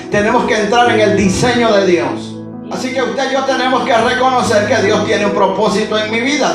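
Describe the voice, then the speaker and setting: A man from Honduras who is a pastor, preaching a Pentecostal sermon in a church and often breaking in with short cries of praise. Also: sits high. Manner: preaching with frequent interjections of praise. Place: church sanctuary. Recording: echoey hall recording from far off, music behind.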